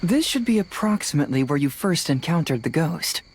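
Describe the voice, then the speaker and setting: A woman playing a calm, fearless young investigator in a video game, speaking in a methodical, matter-of-fact way. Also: low voice, a little fast, a little hiss or hum. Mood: cool, confident.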